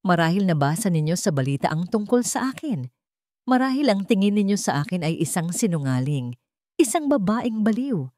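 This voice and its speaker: Wounded, determined Filipino female lead, acted by a woman narrator, soft yet steady, story drama. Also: medium pitch, normal speed, no room sound, clean studio sound, close to an everyday voice. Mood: brave, vulnerable. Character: wounded, determined young woman, fragile but resolute.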